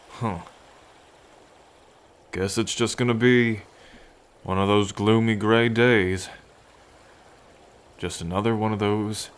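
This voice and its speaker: A man playing a gentle, anxious lone fisherman in an ASMR roleplay, with a halting, reassuring manner. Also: fairly low pitch. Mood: gloomy musing.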